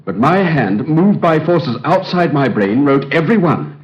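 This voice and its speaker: Casting: haunted, earnest English scholar, formal and agonized, widely swinging pitch, dull vintage radio sound. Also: male voice, animated, measured pace. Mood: intense, uneasy. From England. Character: troubled, earnest English Egyptologist haunted by the past. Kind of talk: old-time radio drama acting. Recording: dull, band-limited old radio recording.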